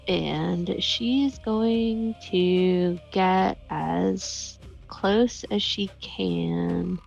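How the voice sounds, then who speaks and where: A woman's voice: on the low side, drawn-out words, gravelly, darkish tone. A woman playing a tabletop game, steadily describing what her character does. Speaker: player. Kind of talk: casual tabletop play. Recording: dull lo-fi recording.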